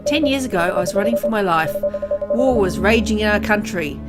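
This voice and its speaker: A woman's voice: mid-range voice, natural voice. Woman voicing a brooding, vengeful grieving father over music. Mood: brooding regret. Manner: dramatic, brooding, deliberate phrasing. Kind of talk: dramatic visual novel read-aloud. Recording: music under the voice.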